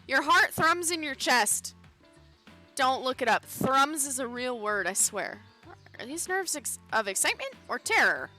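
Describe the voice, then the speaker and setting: A woman streamer reads a dating game aloud and breaks off for sarcastic jokes. Her voice is bright and fairly high, with a lively, bouncing pitch. Faint game music sits in the background. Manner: playful, sarcastic asides.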